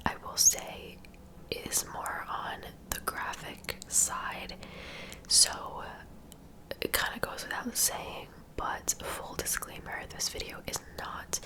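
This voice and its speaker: Female ASMR host, breathy whisper throughout, conversational true crime narration with casual asides to the viewer. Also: sits high, poor audio.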